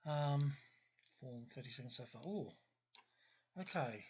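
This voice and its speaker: A man's voice: mid-range voice, lively intonation, measured pace, muffled recording, a little hiss or hum. An Australian man hosting a gaming video, thinking aloud in casual commentary and often qualifying or correcting what he says. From Australia, grew up in Melbourne. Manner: discursive, self-correcting, qualifies his statements. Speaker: host of a gaming video series.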